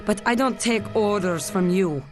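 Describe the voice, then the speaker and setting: A woman's voice: medium pitch, a little slow, a little mellow, soft background music, lightly acted. A woman playing a proud, strong-willed secret agent in a video game, dry and assertive. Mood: defiant. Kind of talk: video game cutscene acting.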